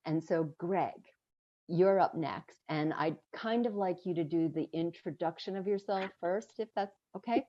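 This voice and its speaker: A woman moderating a panel on a video call, brisk and polite. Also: fairly low pitch, lo-fi sound.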